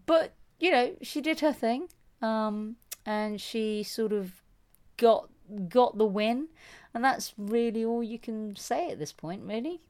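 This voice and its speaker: A woman talking tennis in a relaxed podcast chat. She rambles, laughs at herself and fills her sentences with ums and restarts as she goes. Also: on the high side, slow pace. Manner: chatty, self-mocking, lots of fillers and restarts. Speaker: podcast co-host.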